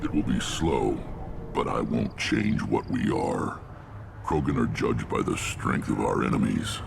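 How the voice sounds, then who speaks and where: An actor playing a gruff, battle-worn alien clan leader in a video game, blunt and commanding, with a rumbling, gravelly voice. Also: male voice, unhurried, heavy background noise, full character voice. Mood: grave, resolute. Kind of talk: video game character acting.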